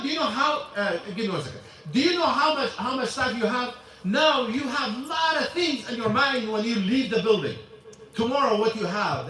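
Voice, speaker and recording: A man's voice: high voice, bright, forceful tone. A man teaching a casual religious class in a mosque, in broken English, often repeating himself. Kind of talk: informal religious lesson. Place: mosque hall. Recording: echoey mosque hall, mic from far off.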